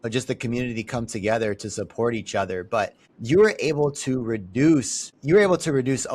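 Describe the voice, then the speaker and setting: A man's voice: medium pitch, fairly quick, a little mellow. A man hosting a podcast who talks in an upbeat, friendly way in a casual interview, recorded inside a police cruiser. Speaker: podcast host.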